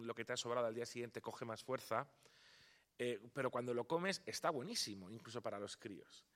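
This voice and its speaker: A man's voice: mid-range voice, fairly bright tone. A man from Spain giving a public talk on nutrition. He speaks emphatically, asks the audience rhetorical questions, and turns conversational when he takes questions afterwards. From Spain (Palma de Mallorca). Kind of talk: public lecture, then answering audience questions.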